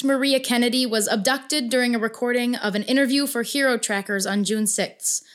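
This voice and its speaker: Woman playing an earnest, higher-voiced podcast producer. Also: fairly bright tone, lightly acted. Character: earnest, worried female podcast producer making an appeal. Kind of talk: scripted announcement in audio drama.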